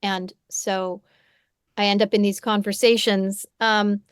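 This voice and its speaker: A woman's voice: mid-range voice, level tone, slow pace, smooth, no room sound, clean studio sound. A woman in her forties, a podcast host and psychotherapist, speaking thoughtfully in conversation, often restarting and checking in with "right?". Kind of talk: reflective conversational interview. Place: home room. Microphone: headphones on a video call.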